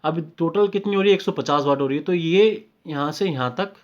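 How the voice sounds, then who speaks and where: Indian male technical trainer, slightly high voice, informal explainer who repeats steps and drops in English terms; muffled lo-fi recording. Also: a little fast. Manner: explanatory, repetitive, often checking in with short confirmations. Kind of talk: informal step-by-step technical tutorial. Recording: dull lo-fi microphone sound.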